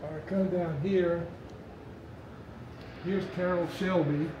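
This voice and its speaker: An older man giving a guided museum tour, telling folksy stories with halting restarts, recorded in a large indoor hall. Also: high voice, steady tone, darkish tone, muffled recording, slight background noise. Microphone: camera held by a visitor nearby.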